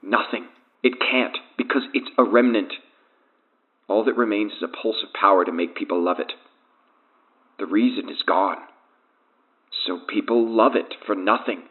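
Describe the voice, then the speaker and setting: A man's voice: fairly low pitch, moderate pace, pauses often, close to an everyday voice. An actor playing a doomed, guilt-ridden man enslaved by an evil power, confessing fatalistically in an audio horror drama. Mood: bleak. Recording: tinny, band-limited phone line.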